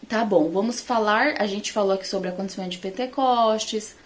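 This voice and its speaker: A woman's voice: medium pitch, fairly quick. A Brazilian woman teaching the Bible informally to online followers, conversational and emphatic in her delivery.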